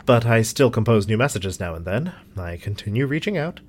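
A man playing a reserved, dryly patient scientist in an audio drama, formal and measured. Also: on the low side, big pitch swings, darkish tone, breathy edge. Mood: reflective.

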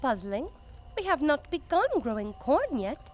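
A woman's voice: sits high, big pitch swings, measured pace, soft background music. A woman playing a naive, literal-minded naturalist in an audio drama, speaking formally and precisely as she studies human customs. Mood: curious, analytical.

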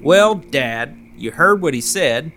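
High-voiced, chatty folksy big guy. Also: expressive, slow pace, a bit bright, faint music behind. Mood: eager, chatty. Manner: garrulous, folksy, self-satisfied. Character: chatty, folksy big man, hobbyist and part-time wildlife officer. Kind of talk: audio drama acting.

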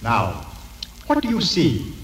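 Commanding christmas spirit, declamatory old radio acting, lively pitch, music behind. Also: male voice, slow pace, full character voice. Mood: commanding, inviting. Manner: declamatory, theatrical. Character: commanding, solemn male spirit of Christmas present. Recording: echoey distant vintage radio, music behind.

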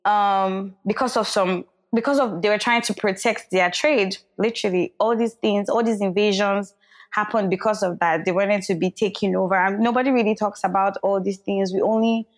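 A woman co-hosting a casual podcast conversation. Her pitch sits mid-range for a woman. She explains her thoughts at length, pausing on fillers as she goes. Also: steady tone. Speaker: podcast co-host. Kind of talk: casual chat.

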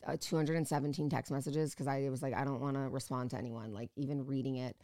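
A woman in her late thirties, a podcast guest whose voice is hoarse, chatting candidly with lively storytelling and free swearing. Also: a bass voice, fast talker, dry recording. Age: 30s. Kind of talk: casual chat.

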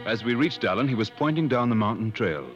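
An actor playing an earnest gentleman adventurer who also narrates, in 1950s radio drama style. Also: mid-range voice, animated, quick pace, close to an everyday voice. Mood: solemn narrating. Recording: muffled old 1950s radio broadcast, music.

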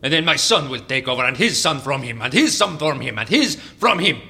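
An actor playing an older, hot-tempered president and dictator in an audio drama, pompous and imperious, with a Latin American Spanish accent. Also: male voice, medium pitch, expressive, fairly quick, vocal fry, pushed and bright. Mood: indignant, boastful.

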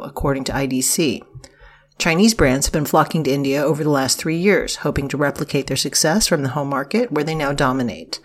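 A woman reading scripted business articles aloud for a news podcast, in a formal, informative delivery. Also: low-pitched.

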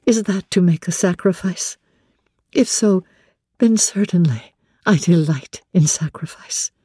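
A woman voicing a devoted, earnest young woman in love, softly and tenderly, in a dramatic reading of classic literature. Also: on the low side, a little slow, no room sound, studio quality, pitched above the performer's own voice. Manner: soft, breathy, tender and respectful.

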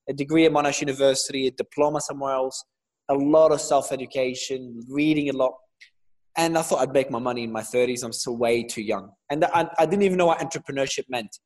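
A man's voice: medium pitch, a little mellow. Lebanese-born man with an Australian accent. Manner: earnest, storytelling, with fillers. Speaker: guest entrepreneur. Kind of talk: interview answers.

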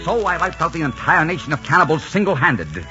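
An actor playing a dashing, boastful pirate captain in a 1940s radio drama, spinning tall tales and turning tender and dreamy. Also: male voice, a little high, very animated, fairly quick. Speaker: pirate leader. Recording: muffled old radio broadcast with music.

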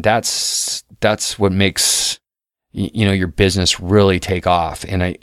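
A man's voice: fairly low pitch, no room sound. A middle-aged man talking casually and earnestly into a close mic, often restarting and repeating words as he shares advice. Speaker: podcast guest host.

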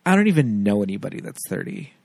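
A man's voice: on the low side, very animated, fast talker, gravelly, a little mellow, dry recording, studio quality. A man, a podcast host, telling stories in a chatty way with many fillers in a casual film chat.

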